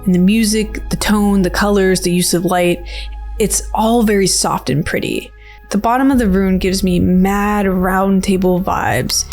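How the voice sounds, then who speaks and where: A woman's voice: medium pitch, steady tone, measured pace, darkish tone. Casual, playful woman over background music. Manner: informal, playful, slangy filler-heavy speech. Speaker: video creator and host. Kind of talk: casual rambling video game trailer commentary.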